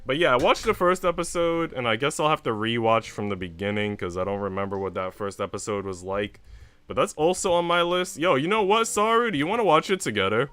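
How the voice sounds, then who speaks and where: A man's voice: mid-range voice, expressive, brisk, fairly bright tone, smooth, no echo, faint music behind. A man livestreaming in a casual, rambling, informal chat style, swearing freely. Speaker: live streamer.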